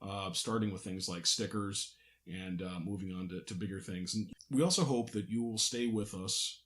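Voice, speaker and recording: A man's voice: deep voice, normal speed, fairly bright tone. A man chatting as a podcast host and voice actor, agreeable and given to short affirmations. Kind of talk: behind-the-scenes podcast chat.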